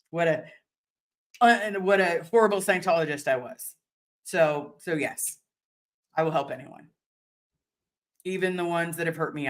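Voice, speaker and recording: Hoarse, deep-voiced woman chatting. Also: a bit bright. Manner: rambling, repeats words and restarts often. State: slightly hoarse. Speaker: livestream host reading viewer chat. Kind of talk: casual live chat.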